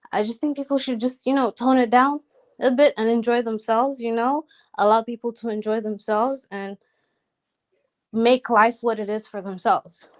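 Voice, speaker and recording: A young Kenyan woman calling in to a chat show, talking casually with many ums and emphatic asides. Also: a little high. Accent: Kenyan, sounding American to listeners. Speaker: caller.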